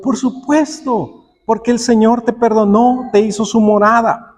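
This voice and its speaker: A man's voice: extremely high pitch, fairly even intonation, a little slow. A man preaching a sermon, putting questions to his listeners and acting out bits of dialogue as he goes. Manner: acts out imagined dialogues and quotes; rhetorical questions to the congregation. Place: church hall, preaching aloud to congregation. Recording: long echo of a large hall.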